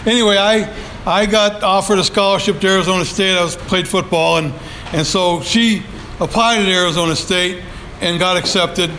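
Older guy telling his story at a group meeting, high voice, lots of uh's, faint hiss behind him. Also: steady tone, normal speed, sharp tone, low-quality recording. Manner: rambling, folksy, self-deprecating with many fillers. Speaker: speaker at a support group meeting. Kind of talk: personal share at a recovery meeting. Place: meeting room. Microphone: handheld microphone.

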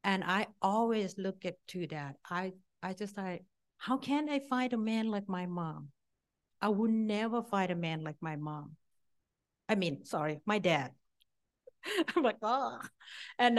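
A middle-aged woman from Thailand speaks to a meeting with a Thai accent. Her pitch is average for a woman. She tells her own story informally and bluntly, in short, self-deprecating phrases. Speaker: speaker at a support-group meeting. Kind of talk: informal personal testimony.

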